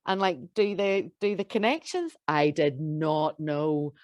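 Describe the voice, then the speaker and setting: A woman's voice: low-pitched, slow, dry recording. A woman hosting a webinar, chatty and enthusiastic with plenty of fillers. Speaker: webinar host.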